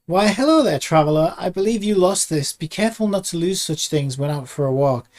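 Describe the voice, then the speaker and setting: Casting: a man as a flamboyant young game bard, lilting and lively, courtly and playful. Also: fairly high pitch, a little fast, voice pushed up, natural voice. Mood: breezy courtly. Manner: theatrical, courtly. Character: flamboyant boyish male bard, playful and grandiose.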